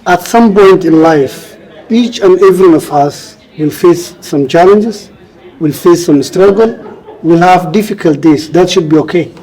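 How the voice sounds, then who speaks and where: A man's voice: high voice, unhurried, dark tone, slightly rough, poor audio. A man with a Somali accent answering interview questions, explaining earnestly and repeating phrases for emphasis. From Somalia, grew up in Kenya. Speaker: interview guest, author and refugee advocate.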